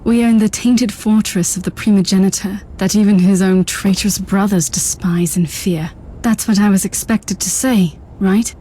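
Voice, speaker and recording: A woman's voice: medium pitch, fairly even intonation, normal speed, mellow, slight background noise, close to an everyday voice, low-quality recording. A woman playing a cynical, defiant young heroine in a video game, with a dry, resolute delivery. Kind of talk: dramatic video game acting.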